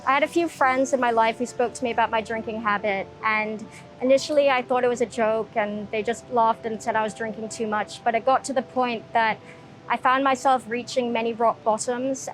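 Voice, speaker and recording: Young woman from Hong Kong, soft-spoken, reflective, slightly high voice, faint music under her. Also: rough recording. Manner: soft-spoken, reflective, frequent ums and pauses. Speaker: interviewee sharing her recovery story. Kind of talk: personal testimony. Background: soft background music.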